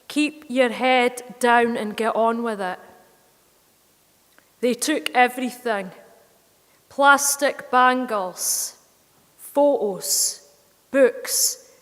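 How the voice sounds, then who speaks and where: A Scottish woman performs an intense first-person monologue, her voice sitting high and her delivery slow and deliberate. She drops into Scots slang as she tells it, and her words ring out with the long reverb of a hall. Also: bright, forceful tone. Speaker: spoken-word performer.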